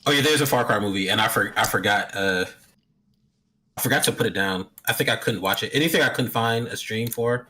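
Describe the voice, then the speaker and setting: Bright-voiced, rambling male co-host. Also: fairly low pitch, slight background noise, poor audio. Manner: fast, filler-heavy, stumbling restarts. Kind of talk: casual chat.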